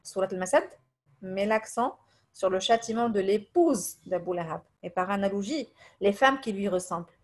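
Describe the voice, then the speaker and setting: A woman from Tunisia whose first language is Arabic, giving a religious lesson in French, hesitating often with fillers, reading translations and reciting verses quickly. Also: mid-range voice, lively intonation. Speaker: religious teacher. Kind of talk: religious lesson over voice messages.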